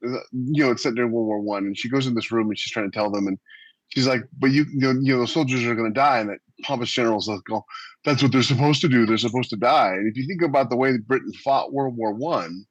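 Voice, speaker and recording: A man's voice: medium pitch, brisk, no room sound. An American man co-hosting a podcast over an online call, chatting casually and telling anecdotes. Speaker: podcast co-host. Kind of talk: casual panel discussion. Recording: dry, slightly dull audio.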